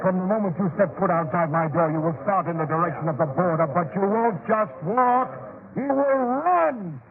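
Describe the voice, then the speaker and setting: A man's voice: sits high, expressive, moderate pace. An actor in a 1940s radio drama playing a blustering but fair-minded man of authority, commanding and declamatory. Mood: gruff, taunting. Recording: noisy muffled 1940s radio broadcast.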